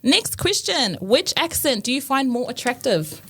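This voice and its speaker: A woman's voice: sits high, very animated, normal speed, fairly bright tone, a little hiss or hum. A woman hosting a podcast, upbeat and giggly as she quizzes her guests. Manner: upbeat, giggly, runs a quiz. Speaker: podcast host. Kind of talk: casual interview chat.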